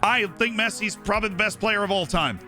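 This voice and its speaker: A man's voice: high-pitched, a little fast, sharp tone, soft background music. A young man streaming live, chatting casually and opinionatedly about football, with lots of fillers and asides.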